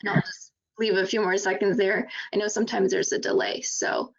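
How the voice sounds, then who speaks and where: Upbeat woman with a gravelly edge hosting a webinar. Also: medium pitch, fairly even intonation, normal speed. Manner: upbeat, casual, many fillers. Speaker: webinar host from marketing. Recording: dull lo-fi web audio.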